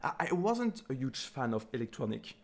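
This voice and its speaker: A man's voice: medium pitch, very animated, normal speed, vocal fry. A man from Belgium with a Belgian French accent, whose first language is French, chatting as a guest in an interview over a video call, laughing easily and restarting his sentences often. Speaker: guest software developer. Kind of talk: casual interview chat.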